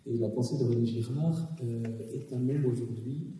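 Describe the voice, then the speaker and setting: Man moderating in a formal, hesitant way, dark tone, heard from far off on cassette in a reverberant hall. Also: medium pitch, moderate pace. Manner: formal, hesitant, many fillers. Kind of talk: formal introduction and interview at a public event. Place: public hall. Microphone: recorded from the audience on cassette. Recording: distant, echoey hall on cassette.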